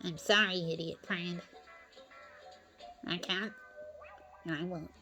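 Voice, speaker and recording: A stubborn drifter of a cartoon sea sponge acted by a woman, folksy and weary. The lines come very slowly and haltingly, with music underneath. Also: on the low side, fairly even intonation, pushed and bright. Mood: regretful.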